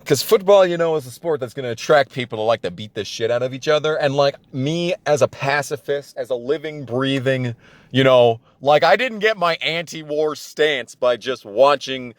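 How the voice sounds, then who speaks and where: A man's voice: mid-range voice, moderate pace, no echo. A man in his twenties telling a personal story casually and comedically, with profane, exasperated ranting and emphatic repetition. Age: 20s. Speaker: storyteller on a gaming channel.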